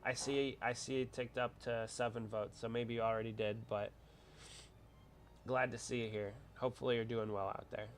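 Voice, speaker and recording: A man's voice: medium pitch, little pitch movement, moderate pace. A man hosting a casual livestream, congested from a cold, rambling as he chats with viewers. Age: adult with a wife. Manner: chatty, rambling, reads out viewer comments. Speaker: livestream host.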